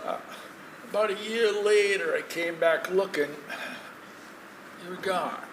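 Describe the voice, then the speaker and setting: A man playing a halting old man, echoey stage. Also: high voice, a bit bright. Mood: wistful, hurt. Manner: halting, pleading, wistful. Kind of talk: stage play acting, dramatic dialogue. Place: stage in a theatre, distant microphone. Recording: echoey, noisy theatre recording from far off.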